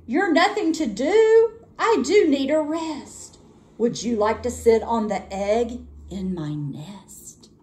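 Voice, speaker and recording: A lazy, whiny storybook bird, played by a woman reading aloud, high and swooping in pitch. She wheedles and complains in a sing-song way, drawing her words out slowly. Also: expressive, darkish tone. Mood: coaxing, pleading.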